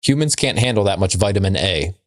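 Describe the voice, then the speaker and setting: A man with a fairly low voice chats casually as a podcast co-host. He delivers trivia and jokes dryly, in a loose comedic style. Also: expressive, fairly quick.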